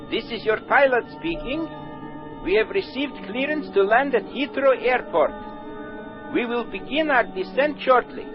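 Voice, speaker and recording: An actor playing a Soviet airline pilot making a formal cabin announcement over an intercom, on a lo-fi radio recording with music behind. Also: male voice, very high voice.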